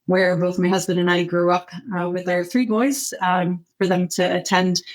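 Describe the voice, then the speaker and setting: A middle-aged American woman from Ohio, answering as an interview guest in measured, long winding sentences. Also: lowish voice, flat delivery. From United States, Northeast Ohio. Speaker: podcast guest, healthcare technology executive.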